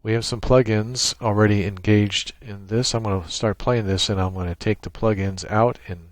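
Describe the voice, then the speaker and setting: A man presenting an unscripted screen-share software tutorial in a halting, thinking-aloud way. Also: on the low side, fairly even intonation, no echo.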